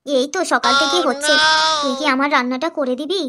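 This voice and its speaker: A woman's voice: high voice, fast, heavy background noise, close to an everyday voice. A woman doing a whiny, bossy eldest wife. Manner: complaining, nagging, dramatic groaning. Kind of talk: cartoon voice acting.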